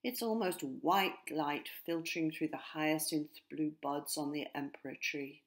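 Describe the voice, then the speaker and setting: Slow, low-voiced woman reading a storybook. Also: fairly even intonation. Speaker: author narrating her own story. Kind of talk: children's storybook narration.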